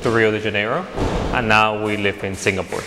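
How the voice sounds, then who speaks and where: A man's voice: lowish voice, steady tone, a little fast, over music. A man in his forties answering an interview in a plain, matter-of-fact way. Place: room indoors. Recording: reverberant room, hiss.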